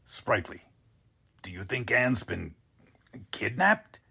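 Performer as a raspy, flustered male boss. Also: lowish voice, big pitch swings, slow, sharp tone, dry recording, full character voice. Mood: alarmed. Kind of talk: 1940s radio drama acting.

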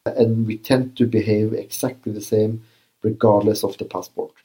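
Earnest man, dark voice. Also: lowish voice, little pitch movement, moderate pace. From Norway. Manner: earnest, restarts often, many uh fillers. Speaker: former prison governor. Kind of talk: interview answers.

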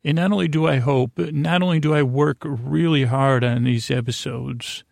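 A man hosting a bedtime podcast in a rambling monologue, halting and often trailing off mid-thought, with a gravelly voice. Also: medium pitch, darkish tone, no room sound, clean studio sound. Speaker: podcast host. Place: podcast studio.

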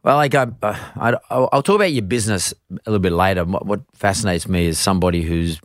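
A man's voice: low-pitched, fast talker, no room sound, studio quality. A middle-aged man hosting a podcast interview, chatty and rambling, often restarting his sentences. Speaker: podcast host. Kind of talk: conversational interview.

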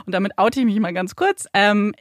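A woman's voice: medium pitch, brisk, dry recording, clean studio sound. A young adult woman, a native Polish speaker, co-hosting a podcast and narrating a true crime case with vivid scene-setting and rhetorical questions. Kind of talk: true crime storytelling, partly scripted narration. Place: podcast studio.

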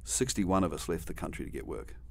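New zealand man, very low voice, middle-aged, rambling storyteller, dry humor. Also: expressive, brisk, gravelly, a little hiss or hum. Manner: rambling, frequent restarts and asides, dry humor. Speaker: guest. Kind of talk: conversational interview storytelling.

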